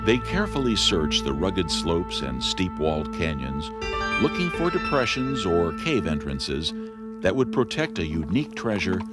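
A man's voice: low voice, big pitch swings, normal speed. A man giving scripted 1970s documentary narration with a dramatic, sweeping delivery. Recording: voice-over under loud music.

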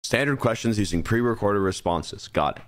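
A man's voice: lowish voice, animated, normal speed. A young man streaming a horror game, giggling and making wry comments back at the screen.

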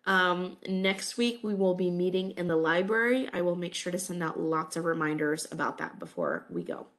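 A woman's voice: lowish voice, lively intonation, normal speed. Stuffy-nosed woman teaching over webcam. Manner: friendly, many "um" fillers. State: stuffy from a cold. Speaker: college English instructor. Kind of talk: recorded online lecture. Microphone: webcam over slides.

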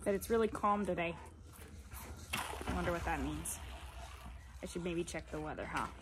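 A woman's voice: lowish voice, normal speed, very noisy. A woman in her thirties, a farmer vlogging casually to a phone held at arm's length outdoors on a farm, talking in a chatty, rambling, down-to-earth way and sounding sore and tired. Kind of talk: casual vlog chat to camera. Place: outdoors on a farm, by fields and a cattle barn.

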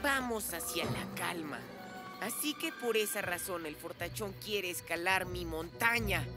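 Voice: medium pitch, lively intonation, unhurried, lightly acted. A woman voicing a vain, scheming boy ninja villain in a cartoon, smug and theatrical, with a Latin American Spanish accent. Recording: loud music behind the voice.

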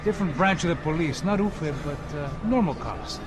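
An actor playing a friendly security colleague, talking casually and reassuringly in a game cutscene. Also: male voice, fairly high pitch, expressive, moderate pace, background noise, lightly acted. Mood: reassuring, tired. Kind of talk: dramatic game cutscene dialogue.

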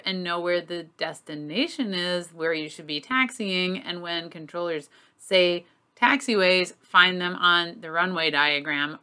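Middle-aged female aviation instructor: forceful bright delivery, slightly low pitch, candid explainer style. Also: smooth. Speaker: flight instructor hosting an aviation lesson. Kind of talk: informal explanatory lesson to camera. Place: small home office, indoors.

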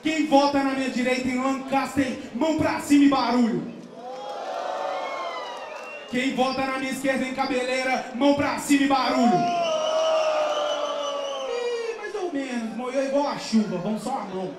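A man hosting an outdoor rap battle, calling to the crowd in a loud, rowdy, slangy way and counting their votes. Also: very high voice, normal speed, long pauses, background noise. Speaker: battle host. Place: outdoor stage under an overpass, big crowd. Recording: echoey open-air PA, distant mic, loud crowd.

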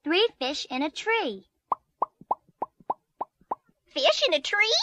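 A very high, childlike voice reads a storybook at a very slow pace. Each simple word is carefully and deliberately shaped, with lively swings in pitch. It sounds like a 1980s read-along cassette with music behind it. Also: background noise. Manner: exaggerated, deliberate enunciation of single words. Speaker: children's book reader.

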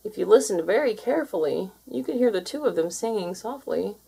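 Husky woman reading a children's story. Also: mid-range voice, expressive, fairly quick, warm tone, poor audio. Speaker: storybook reader. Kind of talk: children's story read aloud, scripted narration.

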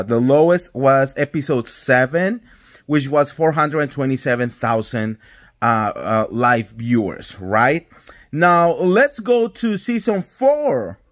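A man in his thirties with a Colombian Spanish accent, ranting emphatically about reality TV into a phone at home. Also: mid-range voice. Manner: indignant, emphatic, swears freely. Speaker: pop culture vlogger. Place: indoors at home, small room. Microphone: phone held close at arm's length.

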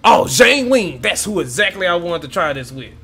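An excitable young man gives casual reaction commentary on a game, his voice high and full of swoops. He sounds bright and keen, swearing often and speaking straight to his viewers. Faint game music runs under him. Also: moderate pace, gravelly, breathy edge. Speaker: gaming YouTuber. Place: home room with gaming chair.

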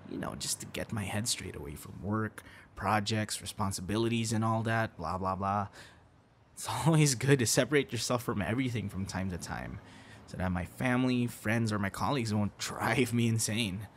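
A man's voice: on the low side, normal speed, a little hiss or hum, natural voice. A young man acting a teasing, friendly boyfriend figure in an intimate, casual audio roleplay. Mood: casual, playful. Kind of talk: ASMR boyfriend roleplay, intimate one-sided dialogue.

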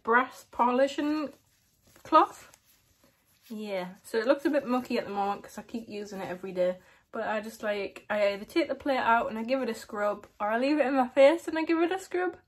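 A young woman with a slight lisp, chatting casually to camera in a small room, giggling now and then. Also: on the high side. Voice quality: slight lisp from a lip plate. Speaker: vlogger.

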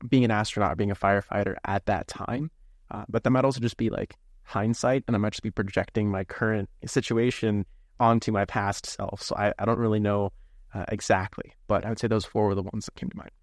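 A man's voice: lowish voice, steady tone, a little fast, no echo, clean studio sound. A man in his twenties, a seminarian guest, talking reflectively and hesitantly in an interview, often searching for words. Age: 20s.

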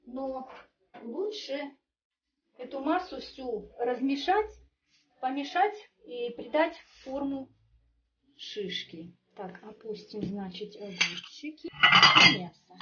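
A woman's voice: high-pitched, expressive, slow pace, pauses often, pushed and bright. A woman walking through a home recipe step by step in a chatty, homely way, recorded at a kitchen table. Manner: homely and chatty, with frequent little fillers. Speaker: home cook. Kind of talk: step-by-step cooking demonstration. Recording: distant, muffled, faint music behind.

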